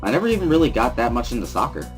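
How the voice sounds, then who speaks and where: A man's voice: medium pitch, sing-song, very fast, soft background music. A man giving a first-person scripted narration, reading a visual novel aloud as a dramatic reading. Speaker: reader of a dramatic reading series.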